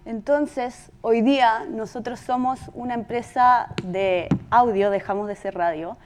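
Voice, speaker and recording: High-voiced Chilean woman presenting. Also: measured pace, darkish tone. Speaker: podcast company presenter. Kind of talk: informal conference presentation.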